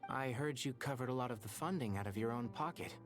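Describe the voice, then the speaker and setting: A man voicing a calm, thoughtful young scholar in a video game, speaking in a serious, explanatory way. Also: medium pitch, fast, soft background music, close to an everyday voice. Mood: polite.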